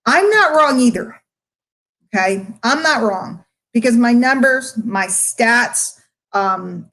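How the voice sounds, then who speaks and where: A woman's voice: medium pitch, a bit bright. A middle-aged woman speaking casually to her online followers as a marketing coach, candid and rambling, with emphatic points made straight to the listener. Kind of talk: casual live-stream chat to followers.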